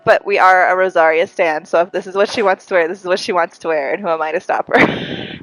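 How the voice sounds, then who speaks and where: A young woman with a congested, breathy voice, giving casual, excitable live commentary as she streams a game. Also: on the low side, fairly quick, no room sound, poor audio. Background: game music.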